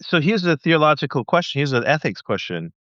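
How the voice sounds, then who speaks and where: A man in his thirties who grew up in Thailand, a podcast guest in casual interview chat, talking in a chatty, joking way with quick asides. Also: fairly high pitch, sing-song, fairly bright tone, no room sound, lo-fi sound. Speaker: podcast guest, missionary leader.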